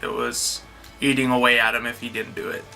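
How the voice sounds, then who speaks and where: A woman's voice: very deep voice, flat delivery, a little fast, vocal fry, sharp tone, faint music behind, low-quality recording. A woman co-hosting a movie review, chatting casually and chiming in. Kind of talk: casual chat, brief interjections.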